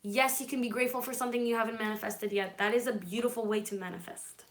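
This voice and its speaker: A chatty woman from California, a native Hebrew speaker, hosting a relaxed live stream. She answers viewer comments in a reassuring tone and keeps checking in with her audience. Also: a little high, fast talker. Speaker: livestream host.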